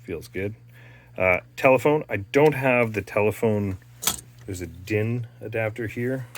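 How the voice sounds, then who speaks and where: A man's voice: on the low side, slight background noise. A man from Canada giving a rambling, casual show-and-tell about restoring an old tape machine, stopping often with fillers and restarts. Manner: rambling, halting, lots of fillers.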